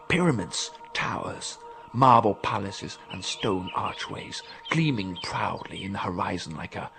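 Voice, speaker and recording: Deep-voiced actor as an awed professor storyteller. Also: male voice, creaky, a bit bright, a little hiss or hum, exaggerated voice. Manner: measured, literary storytelling. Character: male professor recounting a time-travel expedition, awed. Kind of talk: dramatic radio drama narration.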